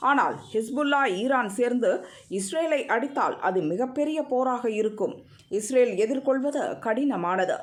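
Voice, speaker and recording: A woman narrating a scripted news report in an even, formal read. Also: fairly high pitch.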